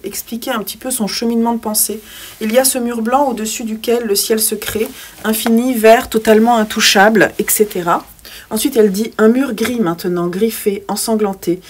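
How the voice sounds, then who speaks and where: A woman's voice: medium pitch, darkish tone. Hesitant woman reviewing a book. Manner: hesitant, many fillers and restarts. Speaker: book vlogger. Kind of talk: casual book review with read-aloud poems.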